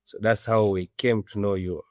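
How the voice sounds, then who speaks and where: A man in his thirties with a Ugandan accent, answering as an interview guest in a halting, earnest way. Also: on the low side, steady tone, dry recording, studio quality. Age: 30s. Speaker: interview guest, orphanage director.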